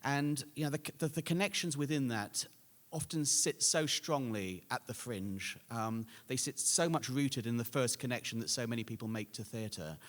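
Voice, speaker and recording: A man's voice: medium pitch, moderate pace, hardly any pauses. A man giving an informal, reflective and rambling speech as an award presenter. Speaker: festival patron and award presenter. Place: large indoor hall at an awards event. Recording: echoey reverberant hall.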